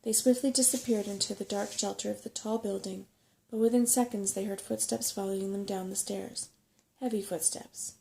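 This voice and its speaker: A soft-spoken woman reads a bedtime story as an ASMR storyteller. Her voice is dark and relaxed, with noticeable vocal fry. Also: mid-range voice, normal speed, slight background noise, low-quality recording.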